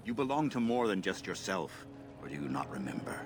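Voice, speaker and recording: An actor playing an old elf storyteller, a grave and gently scolding elder who speaks in a solemn, measured, oratorical way. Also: male voice, low-pitched, very animated, background noise. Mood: stern, sorrowful reproach. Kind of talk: dramatic storytelling game dialogue. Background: music.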